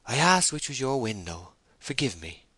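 A man's voice: mid-range voice, very animated, moderate pace, pushed and bright, breathy edge, dry recording, voice pushed up, exaggerated voice. A man voicing a timid, lovesick young woman in a storybook reading, tremulous, hesitant and pleading. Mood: hopeful, faltering.

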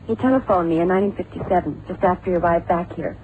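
A woman's voice: fairly low pitch, quick pace, lightly acted. An actress playing a composed, guarded female doctor who answers politely but firmly, in a vintage radio drama. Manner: polite, reserved, firm. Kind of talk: 1960s-70s radio drama acting. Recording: tinny, noisy old radio drama recording.

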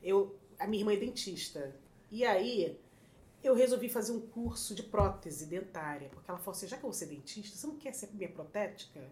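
A middle-aged woman from Rio with a Carioca accent, chatting as a podcast guest, lively and quick to laugh. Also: mid-range voice. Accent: Carioca Brazilian Portuguese. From Rio de Janeiro, Brazil. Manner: lively, animated, laughs often. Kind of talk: casual storytelling chat. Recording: roomy echo with faint noise.

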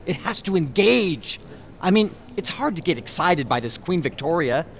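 Eager American showman, very animated. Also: on the high side, moderate pace. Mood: opinionated. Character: eager American male showman, opinionated and ambitious. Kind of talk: radio drama acting.

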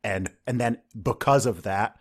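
A man who grew up in Saudi Arabia, hosting a casual conversation and talking in a loose, animated way with mocking asides. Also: fairly low pitch, a little slow.

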